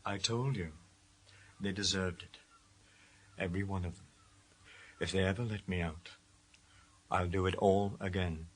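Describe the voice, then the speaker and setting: A man acting a taunting, unrepentant killer in a radio thriller, with a rough, husky voice. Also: low voice, fairly even intonation, unhurried, long pauses, rough recording. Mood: cold, taunting. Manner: taunting, defiant. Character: menacing, unrepentant male killer, a prison officer.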